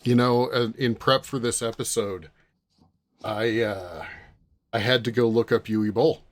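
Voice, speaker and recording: A man hosting a panel podcast in a casual, conversational way, often pausing to find his words. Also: mid-range voice, steady tone. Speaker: podcast host leading a panel.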